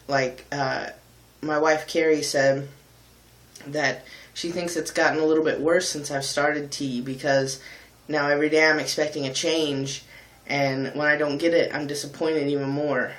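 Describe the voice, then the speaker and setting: A man talking candidly in a casual vlog, thoughtful and pausing often with ums. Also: a little high, fairly bright tone, echoey room, rough recording. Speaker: vlogger and singer. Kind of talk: casual reflective vlog talk.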